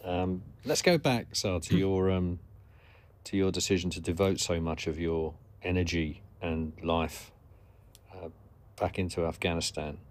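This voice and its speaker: Man, low voice, podcast interviewer, measured, probing. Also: fairly even intonation. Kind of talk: interview questions.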